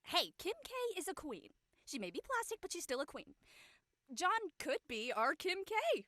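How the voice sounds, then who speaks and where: A brash teenage girl, acted by a woman, teasing and gossipy in slangy drama dialogue. Her voice is high and bright, very animated and recorded dry and close. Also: moderate pace, no room sound. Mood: playful. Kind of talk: audio drama acting, casual dialogue.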